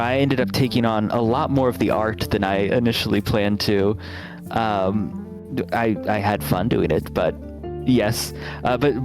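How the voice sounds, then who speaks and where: Polite lowish-voiced man over music. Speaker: game developer and artist guest. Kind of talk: casual chat. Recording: music playing behind the voice.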